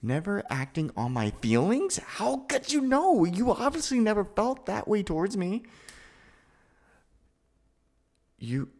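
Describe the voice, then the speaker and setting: A man performs a sweet, awkward young man in an ASMR boyfriend roleplay. He is nervous and hesitant, often tripping over his words. His pitch is mid-range for a man but moves around in a very animated way. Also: creaky, full character voice. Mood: hurt, disbelieving. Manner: hesitant, stammering, flustered.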